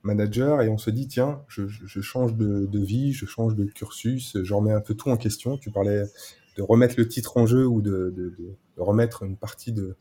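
A man's voice: on the low side, fairly even intonation, normal speed, dark tone, slightly rough. A man hosting an interview in a casual, conversational way, feeling out his phrasing as he asks. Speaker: interviewer, podcast host.

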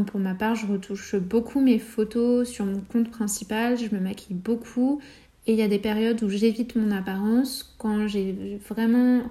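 A woman's voice: medium pitch, warm tone. A woman in her twenties from France sharing a personal story candidly, hesitantly searching for words as she reflects. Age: 20s. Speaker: guest sharing personal testimony.

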